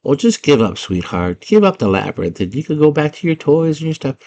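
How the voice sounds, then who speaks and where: A man's voice: fairly high pitch, big pitch swings, brisk, darkish tone, pitched above the performer's own voice. A man voicing a coaxing, sweet old lady goblin in a retold fantasy story. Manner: cooing, coaxing, repetitive.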